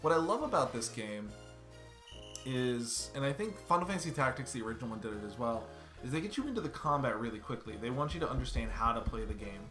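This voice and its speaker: A man's voice: mid-range voice, expressive, fast talker, music in the background. A man in his thirties streaming a game from home, chatting loosely and swearing casually as he plays. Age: 30s. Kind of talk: casual let's-play commentary. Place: home room.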